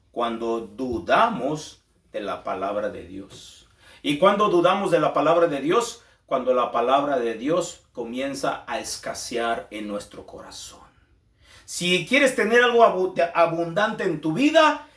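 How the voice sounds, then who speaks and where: A man's voice: fairly high pitch, roomy sound. A man preaching a fervent sermon, repeating phrases and calling his listeners brothers.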